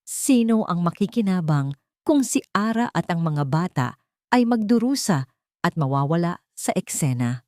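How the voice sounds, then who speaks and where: A woman's voice: fairly low pitch, animated, moderate pace, mellow, no room sound, clean studio sound, lightly acted. A woman voicing a protective wealthy young father in an audio drama, firm and intense. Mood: suspicious, tense.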